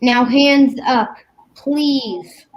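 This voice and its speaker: A woman playing a suspicious masked stranger in an old-time radio comedy, insistent and gruff. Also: high voice, fairly even intonation, very slow, lo-fi sound. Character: suspicious costumed man in a mask. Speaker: radio play performer.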